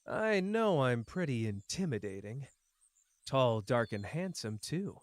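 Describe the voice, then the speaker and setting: Guy doing a smug teasing young anime sorcerer, slow drawl, super lively pitch, talking right to you asmr roleplay style. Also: dry recording. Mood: teasing, cocky. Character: cocky, playful young male sorcerer, teasing but caring. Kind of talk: ASMR character roleplay addressed to the listener.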